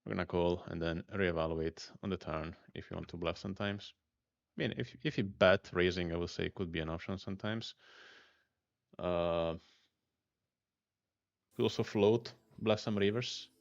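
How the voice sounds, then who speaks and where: A man's voice: deep voice, moderate pace, gravelly, long pauses, dry recording, muffled recording. Italian man thinking aloud over poker hands. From Italy. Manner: thinking aloud, mutters hand names, bursts of excitement. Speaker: poker streamer. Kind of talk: live poker commentary.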